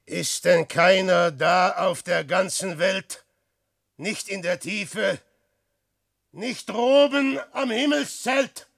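An actor playing a despairing, boastful old man facing death, declaiming verse in a brooding, theatrical radio drama style with a gravelly, husky voice. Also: high-pitched, takes it slowly, fairly bright tone, no room sound, studio quality, heavily acted. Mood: anguished. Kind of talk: 1960s German radio drama verse acting.

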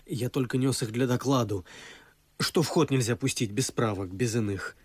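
An actor playing a meek, obsequious young secretary in a classic verse radio drama, speaking with deferential, clerkish care. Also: male voice, mid-range voice, normal speed, a little mellow, breathy edge, no room sound, close to an everyday voice. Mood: meek, servile.

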